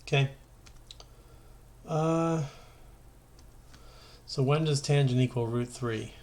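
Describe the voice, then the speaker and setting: A man's voice: medium pitch, slow pace, darkish tone, slight background noise. A male teacher with a mid-range voice talks through worked math problems in an informal way. He is conversational and thinks aloud, checking and correcting himself as he explains. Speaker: high school math teacher.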